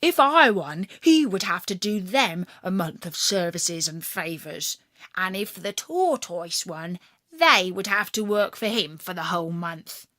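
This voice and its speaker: A woman narrating a children's fairytale as its storyteller, with expressive, deliberate delivery. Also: fairly low pitch, no room sound, studio quality.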